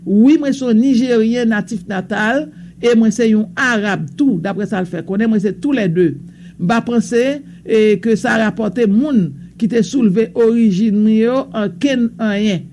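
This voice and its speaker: Brief: high-pitched Haitian male news presenter, hesitant delivery, faint hiss behind. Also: a little slow, a little mellow. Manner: reads bulletins in a loose mix of French and Creole, with hesitations. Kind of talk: radio news reading.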